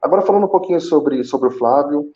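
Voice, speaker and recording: A Brazilian man speaking Portuguese with a Brazilian accent, telling his own story conversationally as an interview guest, haltingly, with repeated words and pauses. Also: fairly high pitch, lively intonation, dull audio. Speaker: guest, call center senior manager. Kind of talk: conversational personal account in a live interview.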